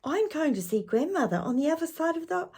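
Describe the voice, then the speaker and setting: A woman voicing a small, eager, polite young girl in a storybook read-aloud, with a high, breathy girlish voice. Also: very animated, fairly quick, a little mellow. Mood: earnest.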